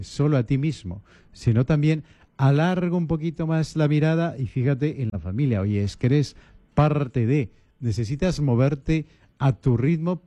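Calm, dark-voiced priest giving advice. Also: male voice, mid-range voice, no room sound. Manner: calm, repetitive listing, coaching tone. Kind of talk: radio talk advice.

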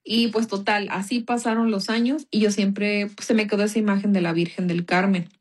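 A woman's voice: medium pitch, fairly even intonation, moderate pace. Mexican woman telling a faith testimony. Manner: casual storytelling, many fillers. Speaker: guest giving a testimony.

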